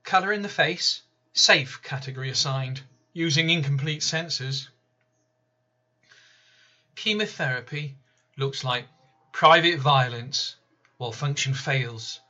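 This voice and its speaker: A man reading his own poem aloud in a slow, deliberate, measured way. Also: mid-range voice, sharp tone, lo-fi sound. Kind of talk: spoken-word poetry reading.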